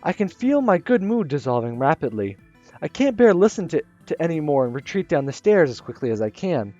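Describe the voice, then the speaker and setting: A man reading the inner thoughts of a shy, anxious one-eyed teenage girl in a visual novel, soft and hesitant. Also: a little high, big pitch swings, brisk. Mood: wistful. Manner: soft, hesitant narration with restarts. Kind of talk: first-person visual novel narration. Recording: slightly muffled with soft music.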